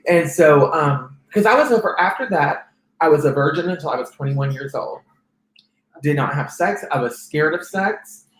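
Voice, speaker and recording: A man in his thirties with a soft, feminine-sounding voice, telling his life story as an interview guest, with frequent restarts. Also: on the high side, animated, normal speed, reverberant, low-quality recording. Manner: rambling, many restarts and fillers. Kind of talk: personal testimony in conversation.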